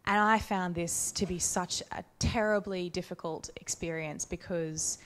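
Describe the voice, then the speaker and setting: A woman speaking on a conference panel, reflecting thoughtfully on her career with measured phrasing and frequent restarts. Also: fairly low pitch, fairly even intonation, fairly bright tone. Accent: Australian. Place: conference hall.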